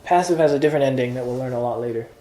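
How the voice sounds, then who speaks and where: Mellow-voiced man tutoring Greek. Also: medium pitch, rapid-fire, reverberant. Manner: patient, explains step by step with examples. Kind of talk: informal one-on-one lesson.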